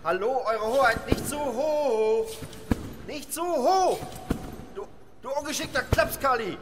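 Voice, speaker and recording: A man's voice: very high-pitched, animated, slow, heavily acted. A man playing a sardonic, exasperated minder coaching his master in a German radio play. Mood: alarmed. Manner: coaxing, dry, exasperated. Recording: echoing hall, faint noise.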